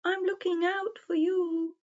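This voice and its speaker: A kindly old owl from a children's storybook, played by a woman, pitched high and speaking in a gentle, reassuring way. He takes his time over the words. The recording is slightly dull. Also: little pitch movement, unhurried. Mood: calm, reassuring.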